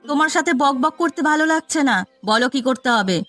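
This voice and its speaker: Cartoon role: shrill-pitched, defensive nagging wife played by a woman, irritable, faint music underneath. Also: animated, fast talker, fairly bright tone. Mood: irritated. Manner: snappy, nagging. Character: irritable, complaining wife, defensive indulgent mother. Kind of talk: cartoon fairy-tale voice acting.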